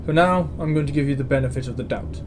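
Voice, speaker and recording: Over clear background noise, a male actor plays a space station commandant in a sci-fi audio drama. He is stern and exasperated, speaking in a mid-pitched male voice with curt authority. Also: fast talker, close to an everyday voice. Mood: stern warning. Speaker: military commandant. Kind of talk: science-fiction audio drama acting.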